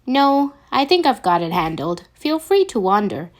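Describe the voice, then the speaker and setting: A woman voicing a bright, brisk female intern supervisor in a fan fiction story, with a cheery, emphatic manner. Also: a little high, very animated. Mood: breezy.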